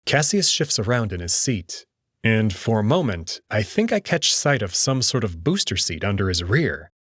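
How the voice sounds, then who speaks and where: A man's voice: fairly low pitch, big pitch swings, moderate pace, fairly bright tone, slightly rough, dry recording, clean studio sound. A man narrating a first-person story in a dramatic reading style.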